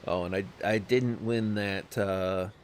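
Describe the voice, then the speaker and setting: A man's voice: on the low side, fairly even intonation, slow pace, a little hiss or hum. A man streaming a strategy game, chatting casually with viewers, hesitant and self-deprecating, with many fillers. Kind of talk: casual live-stream commentary. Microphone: desk microphone, no camera.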